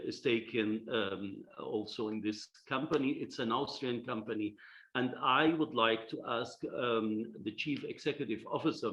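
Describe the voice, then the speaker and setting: A man moderating a virtual forum, speaking at an ordinary pitch with frequent "uh" and "um" hesitations. His formal remarks come through a video call with dull, lo-fi audio. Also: fairly bright tone. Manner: hesitant, many fillers. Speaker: session moderator.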